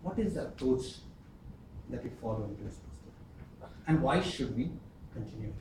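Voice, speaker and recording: A man giving an earnest, emphatic prepared speech at a speaking club, pausing often. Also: medium pitch, animated, warm tone. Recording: echoey room, distant mic, faint hiss.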